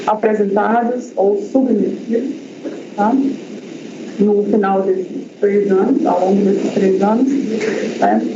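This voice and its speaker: A researcher presenting his project at an academic seminar, talking in a hesitant way with many fillers and restarts. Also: high voice. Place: university lecture room. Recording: echoey lecture hall, dull lo-fi sound, audible noise.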